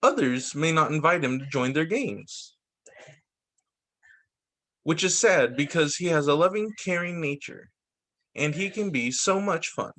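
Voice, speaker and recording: High-pitched, lively man reading aloud. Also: measured pace, pauses often. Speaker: teacher reading picture books. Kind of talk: read-aloud story time for children.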